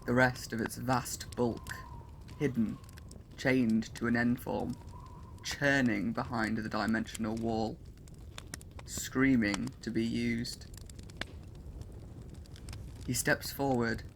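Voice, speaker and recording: A man narrating a dramatic science-fiction story, measured and building to loud, intense passages. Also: mid-range voice, steady tone, creaky, a little hiss or hum.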